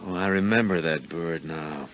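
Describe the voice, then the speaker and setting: A man's voice: very deep voice, moderate pace. An actor in a 1950s radio drama playing an affable but uneasy man under questioning, folksy and evasive with nervous chuckles. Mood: dismissive. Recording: dull 1950s radio recording.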